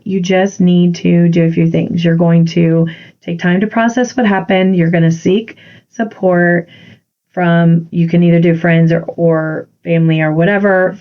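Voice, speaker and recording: A woman's voice: lowish voice, fairly even intonation, unhurried. A woman with a congested voice hosting a solo podcast, speaking earnestly and encouragingly as a confidence coach. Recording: dull lo-fi recording.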